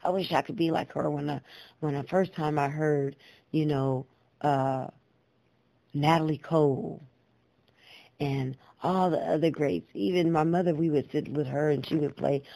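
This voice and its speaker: A woman telling personal stories in a reflective, earnest, deliberate way, repeating phrases for emphasis, with clear, proper diction. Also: sits low, steady tone, warm tone, no room sound. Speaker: guest storyteller, poet and singer.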